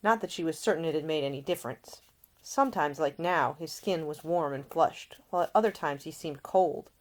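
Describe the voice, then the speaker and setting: A woman's voice: low-pitched, fairly quick. A woman reading scripted audiobook narration, an author telling their own story.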